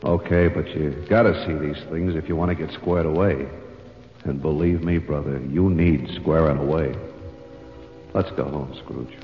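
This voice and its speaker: Male spirit, extremely low voice, bossy, streetwise, slangy, cavernous reverb, old radio drama. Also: fairly even intonation, moderate pace, faint music behind. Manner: tough-talking, slangy, bossy. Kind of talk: 1940s radio acting. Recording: dull old radio recording, long hall reverb.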